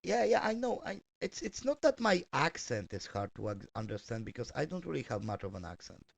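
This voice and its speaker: A man's voice: mid-range voice, big pitch swings, fairly quick, creaky, dry recording. A man streaming and chatting casually as he works, hesitant with lots of fillers and self-corrections. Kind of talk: casual live-stream chat while working.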